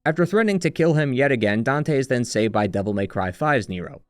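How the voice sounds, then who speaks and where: A man's voice: medium pitch, lively intonation, fairly quick, no room sound, clean studio sound. A man narrating a scripted retrospective as a video essayist, with sardonic, wry commentary. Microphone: close, dry voice-over mic.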